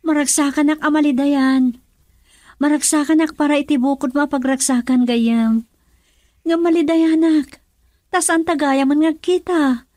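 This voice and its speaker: A woman's voice: high voice, fairly even intonation, measured pace, a little mellow, no room sound, studio quality. An actress with an Ilocano accent playing an earnest woman in a radio love drama. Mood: emotional, troubled.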